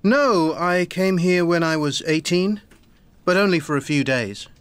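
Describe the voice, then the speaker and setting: A man reading a scripted coursebook dialogue as a visiting journalist, clear and friendly. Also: high voice, lively intonation, unhurried, no room sound. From England.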